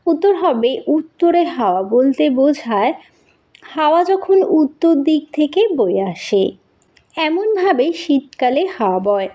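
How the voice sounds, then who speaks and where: A woman teacher giving a scripted school lesson to young pupils, speaking in a deliberate, instructive way. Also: high-pitched, lively intonation, mellow. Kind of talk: scripted lesson narration with question-and-answer and poem recitation.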